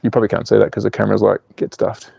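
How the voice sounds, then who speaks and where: Aussie bloke in his 40s tinkering with slot cars on a stream, cheeky and sweary, mellow voice, dead dry close sound. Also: on the low side, completely flat delivery, very fast, creaky, husky, no room sound. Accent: Australian. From Australia. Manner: cheeky, swearing, laughs at his own jokes, reads out chat. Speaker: slot car channel host. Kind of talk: casual livestream chat.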